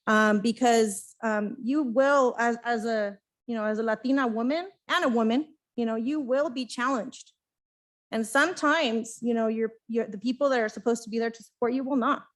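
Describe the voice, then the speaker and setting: Earnest woman panelist, fairly high voice. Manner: halting, earnest. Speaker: conference panelist. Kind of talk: spoken advice to students.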